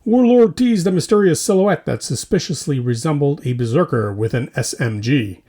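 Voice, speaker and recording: A man with a fairly high, slightly husky voice delivers a scripted comedic roundup as a podcast host. His pitch swings widely from phrase to phrase, and he keeps a punchy, tongue-in-cheek manner. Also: expressive, moderate pace, mellow. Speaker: host of a tabletop wargaming hobby news podcast. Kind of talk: scripted comedic news roundup with mock commercials.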